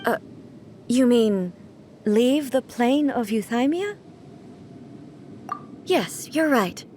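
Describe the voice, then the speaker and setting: A woman voicing a composed, slightly aloof goddess ruler in a video game, speaking in a measured, formal and earnest way. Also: on the high side, lively intonation, darkish tone, slight background noise. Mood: puzzled.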